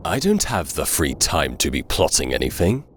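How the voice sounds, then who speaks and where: A man's voice: rumbling low, very animated, normal speed, a little hiss or hum. A man voicing an insolent young antihero in a fantasy game fan dub, smug, taunting and defiant. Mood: mocking. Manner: taunting, cocky.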